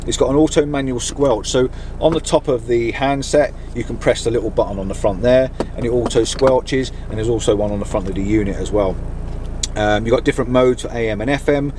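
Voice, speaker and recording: A man's voice: mid-range voice, fairly quick. A middle-aged British man giving a casual, enthusiastic product review to camera in a chatty, informal way. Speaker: vlogger reviewing gear. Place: inside a campervan. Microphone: camera on a mount in the van. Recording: background noise inside a vehicle.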